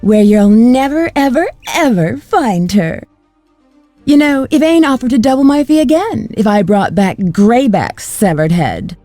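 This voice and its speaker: Female villain, video game mercenary, flirtatious, cruel, theatrical taunts, animated pitch, music behind. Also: no room sound. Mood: gloating, menacing. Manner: taunting, theatrical, drawn-out gloating.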